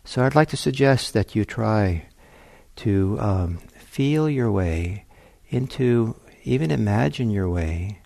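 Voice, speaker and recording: A man teaching meditation in a gentle, reflective way, pausing often as he searches for phrasing. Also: fairly low pitch, lively intonation, gravelly, no echo. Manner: gentle, hesitant, reflective phrasing with long pauses. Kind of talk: guided meditation talk.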